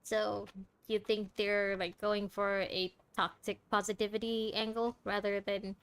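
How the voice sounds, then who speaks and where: A woman's voice: mid-range voice, flat delivery, a little slow, fairly bright tone, smooth. A woman gaming streamer giving casual playthrough commentary, chatting playfully with the game's characters. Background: game music.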